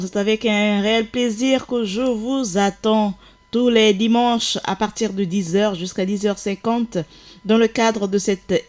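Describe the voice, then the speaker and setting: A woman presenting a live radio show, talking briskly and chattily and sometimes correcting herself. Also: mid-range voice, darkish tone.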